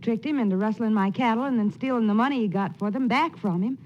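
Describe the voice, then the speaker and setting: A woman's voice: a little high, fast talker, a little hiss or hum, lightly acted. An actress playing a spirited, playful woman rancher in a 1940s radio western. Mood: warm, curious. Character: spirited, friendly young woman cattle rancher. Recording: muffled old radio recording.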